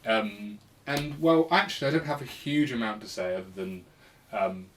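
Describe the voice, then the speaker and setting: Low-pitched man, casual podcast host. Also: lively intonation, moderate pace, a little hiss or hum. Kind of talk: casual chat about retro games.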